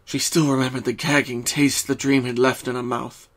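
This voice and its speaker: A man reading a scripted story as narrator, in a solemn, deliberate and dramatic way. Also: medium pitch, flat delivery, a bit bright.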